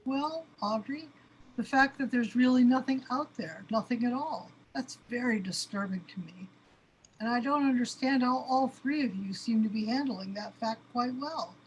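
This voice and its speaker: A woman's voice: on the high side, moderate pace, muffled recording, a little hiss or hum, close to an everyday voice. A woman acting in a radio play as an overwhelmed, panicky cosmic being, anguished and questioning. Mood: agitated. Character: overwhelmed, panicky cosmic being trapped in its own space body.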